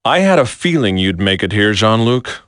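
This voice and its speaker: An actor playing a grave military commander turned traitor in a video game, solemn, deliberate and resigned to fate. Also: male voice, on the low side, very animated, a bit bright, no echo, studio quality. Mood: grim, confessing. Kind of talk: dramatic scripted video game acting.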